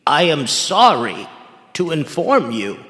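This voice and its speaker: A man voicing a reluctant, apologetic blind prophet who speaks haltingly and deferentially in a storybook tale. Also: a little high, sing-song, sharp tone, long reverb tail, heavily acted. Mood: reluctant, sorrowful.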